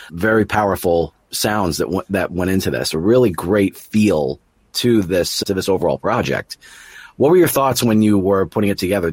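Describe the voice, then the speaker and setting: A man with a fairly low, husky voice hosts a relaxed interview. He is encouraging and enthusiastic, and the sound is close and dry. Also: no room sound. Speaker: interview host and producer. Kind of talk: casual interview.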